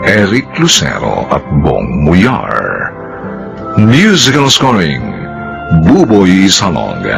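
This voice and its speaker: A man narrating a radio drama from a script in a solemn, measured manner. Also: low-pitched, creaky. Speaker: narrator and director.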